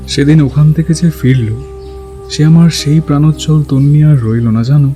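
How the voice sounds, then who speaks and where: An actor as a sorrowful father, dark mellow voice. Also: fairly high pitch, normal speed, close to an everyday voice. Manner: confiding, earnest. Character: sorrowful, confiding middle-aged father of a grown daughter. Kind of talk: audio drama acting. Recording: music under the voice.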